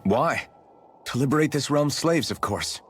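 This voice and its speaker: A man's voice: medium pitch, animated, unhurried, lightly acted. A man playing an earnest, idealistic young hero in a video game cutscene, sincere and questioning. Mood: earnest, resolved. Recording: music behind the voice.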